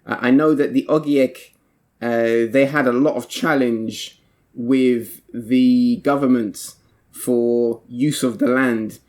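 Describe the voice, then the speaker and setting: A man interviewing a guest, his questions measured and supportive. His voice sits at an ordinary middle pitch. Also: fairly even intonation, darkish tone.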